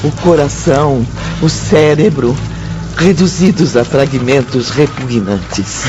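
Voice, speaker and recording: A man's voice: medium pitch, slow. A Brazilian man reading scripted dramatic narration for a radio drama. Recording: dull lo-fi audio over music.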